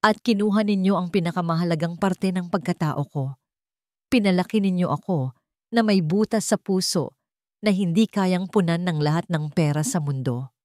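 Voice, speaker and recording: A woman's voice: fairly low pitch, moderate pace, darkish tone, no room sound, studio quality, close to an everyday voice. A Filipino woman voicing a wronged, resolute young heiress in a dramatic story, with firm, emphatic declarations. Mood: cold, resolute. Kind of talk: dramatic storybook character reading.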